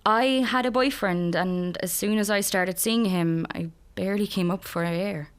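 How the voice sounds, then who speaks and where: An actress plays a depressed young Irish woman in a radio drama. She speaks at a middling pitch, hesitant and deadpan, with a guarded, wry edge. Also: normal speed, smooth, lightly acted. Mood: low, reluctant. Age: young adult. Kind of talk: naturalistic radio drama acting.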